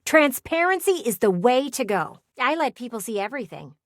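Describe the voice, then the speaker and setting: A woman's voice: on the high side, big pitch swings, moderate pace, dry recording, clean studio sound. A woman voicing a nosy, sassy talking window in a video game, chatty and theatrical like a gossiping neighbor. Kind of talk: video game character voice acting.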